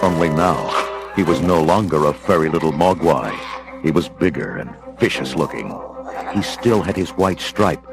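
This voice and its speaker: A man's voice: rumbling low, expressive, normal speed, vocal fry. A man reading scripted, dramatic storybook narration. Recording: music behind the voice.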